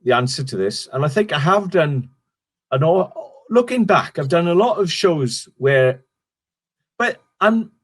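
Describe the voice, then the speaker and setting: Middle-aged Welsh man, comic, higher voice, loose rambling storyteller. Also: animated, a little slow, fairly bright tone. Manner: rambling, restarts sentences, swears casually. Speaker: stand-up comedian guest. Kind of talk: casual interview chat.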